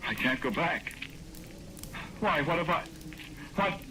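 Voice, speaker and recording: A man's voice: on the high side, expressive, slow. Noisy vintage radio: innocent condemned man, haunted, dramatic first-person storyteller. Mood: anguished, pleading. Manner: dramatic, intense. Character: condemned innocent man, haunted, pleading, narrating his ghostly tale. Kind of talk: 1940s radio acting, first-person narration.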